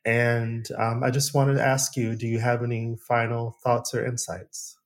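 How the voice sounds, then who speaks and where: A man's voice: medium pitch, completely flat delivery, normal speed. A man from the United States hosting a podcast, chatting casually and thoughtfully with his guest. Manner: reflective, with small restarts and sighs. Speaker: podcast host. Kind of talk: casual interview chat.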